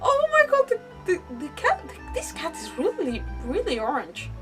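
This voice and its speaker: A woman's voice: very high-pitched, huge pitch swings, normal speed. A woman game streamer chatting casually and commenting on her play in a rambling, hesitant way. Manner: rambling, hesitant, mild substitute swearwords. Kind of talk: casual livestream chat, gameplay commentary. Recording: distant mic, faint music behind. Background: faint game music.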